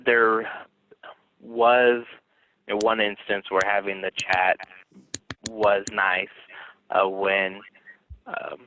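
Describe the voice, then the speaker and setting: A man's voice: on the low side, little pitch movement, slow, long pauses, bright, forceful tone. A man on a video call, hesitant and searching for words. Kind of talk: casual meeting discussion. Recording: phone-like thin sound.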